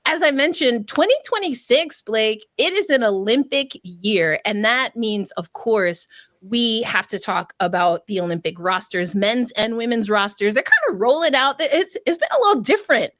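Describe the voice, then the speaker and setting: A woman's voice: fairly high pitch, lively intonation, normal speed, no room sound. A woman hosting an interview podcast, chatting casually with enthusiastic affirmations. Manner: warm-up questions, enthusiastic affirmations. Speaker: podcast host.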